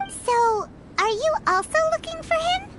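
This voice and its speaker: A woman voicing a tiny, cheeky, naive pixie companion in a video game, squeaky and childlike, with a chirpy manner. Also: very animated, measured pace, a bit bright, slight background noise, full character voice, low-quality recording. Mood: puzzled. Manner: chirpy, refers to herself in third person.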